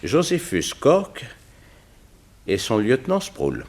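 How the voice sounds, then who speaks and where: A man playing a gruff, colloquial police inspector in a 1960s French radio crime drama. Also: medium pitch, wildly swooping pitch, slow pace, a little mellow, exaggerated voice. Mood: earnest. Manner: colloquial, interjecting, deferential to the detective.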